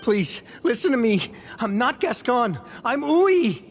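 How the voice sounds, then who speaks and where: Desperate game prisoner, high voice, agitated, insistently pleading innocence. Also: female voice, sing-song, slow, a little hiss or hum, exaggerated voice.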